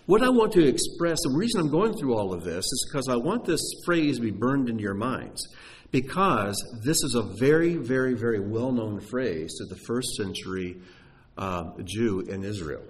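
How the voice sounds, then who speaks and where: A man preaching and teaching, animated and emphatic, often dropping to a hushed voice. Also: medium pitch, normal speed. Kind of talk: sermon.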